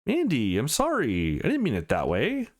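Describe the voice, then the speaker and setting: A man's voice: medium pitch, huge pitch swings, normal speed, no echo, studio quality, voice pushed up. A man voicing a timid, wounded young schoolgirl in a visual novel, hesitant and pleading. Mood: sad, apologetic.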